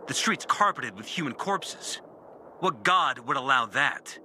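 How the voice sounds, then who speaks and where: An actor playing a bitter, disillusioned former priest in a video game, speaking a brooding, deliberate confessional monologue with dramatic pauses. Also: male voice, mid-range voice, animated, noisy background, lightly acted. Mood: troubled, grim. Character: disillusioned former priest, bitter and intense, recounting his lost faith. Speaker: character narrating an audio journal.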